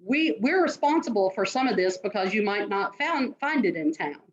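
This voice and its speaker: A woman's voice: mid-range voice, expressive, normal speed. Earnest woman dean, dull lo-fi call. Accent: non-New York American. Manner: earnest, direct, advising students. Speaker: admissions dean. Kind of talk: answering interview questions.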